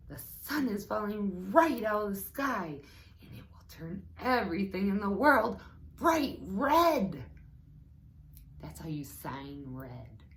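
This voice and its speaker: An American woman, middle-aged, reading a children's story aloud expressively and pausing to talk to her young listeners. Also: medium pitch, sing-song. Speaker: teaching assistant reading to children.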